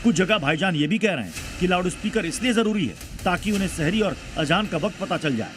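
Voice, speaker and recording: A man's voice: high voice, animated, a little fast, background noise. A man narrating a scripted TV news report in a dramatic style. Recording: loud music bed behind voice. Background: background music.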